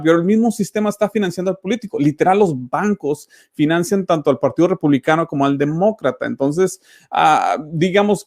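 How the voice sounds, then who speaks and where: Conversational male host, slightly high voice. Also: a little mellow. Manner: conversational, interjecting, plain-spoken. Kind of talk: interview commentary.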